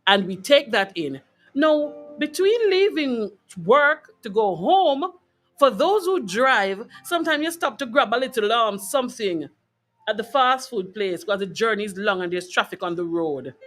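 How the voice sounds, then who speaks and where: A woman's voice: fairly high pitch, expressive, measured pace, a bit bright, soft background music. Jamaican woman giving an informal health talk. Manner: conversational and emphatic, checks in with listeners using short tag questions. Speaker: host of a wellness broadcast. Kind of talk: informal motivational health talk to a live online audience.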